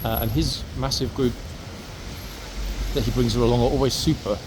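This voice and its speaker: A man's voice: mid-range voice, steady tone, moderate pace. Chatty man vlogging on a noisy street. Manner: chatty, addressing viewers directly. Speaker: vlogger and city walk host. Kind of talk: casual walking commentary to viewers. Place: outdoors on busy city streets, walking. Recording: clear street traffic noise outdoors. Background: traffic and urban outdoor ambience.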